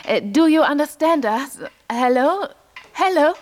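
Fussy German wife, lively and high. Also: female voice, unhurried. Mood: anxiously polite. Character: fussy, class-conscious German wife touring abroad. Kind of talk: radio play dialogue.

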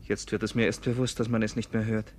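An actor in a German radio play voicing a polite, conflicted English gentleman who speaks earnestly and hesitantly. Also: on the low side, level tone, quick pace, close to an everyday voice. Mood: troubled, confessing. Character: conflicted English gentleman, secretly afraid, tenderly flirting.

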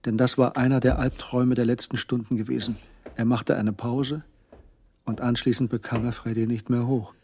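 A man's voice: medium pitch, flat delivery, normal speed, darkish tone, a little hiss or hum, natural voice. A man performing as the third-person narrator of a German crime radio drama, with scripted, tense storytelling. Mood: brooding.

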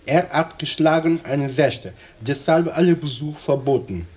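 Portuguese prison official, higher-pitched husky voice, Portuguese accent, curt, broken German, radio drama. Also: male voice, a little mellow. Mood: stonewalling, official. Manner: halting, ungrammatical German, stonewalling. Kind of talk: naturalistic radio drama acting.

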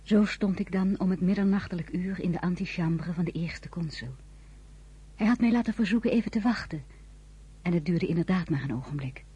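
An earnest young wife and mother in a radio play. Her voice is strikingly husky and breathy, with a soft, mellow tone. She sounds anxious and a little hesitant. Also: on the low side, fairly quick, lo-fi sound. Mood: narrating. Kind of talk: Dutch radio drama acting with first-person narration.

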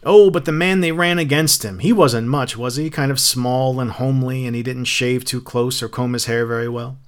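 A man's voice: mid-range voice, steady tone, normal speed, clean tone, close to an everyday voice. A man voicing a shallow, opinionated housewife in a storybook reading, chatty, prim and disdainful. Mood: gossiping, scornful. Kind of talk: audiobook character acting.

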